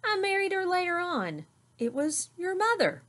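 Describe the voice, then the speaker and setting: A woman voicing a kindly, reassuring father bear from a children's picture book in an expressive way. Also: very high voice, moderate pace, voice pushed up, exaggerated voice. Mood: amused.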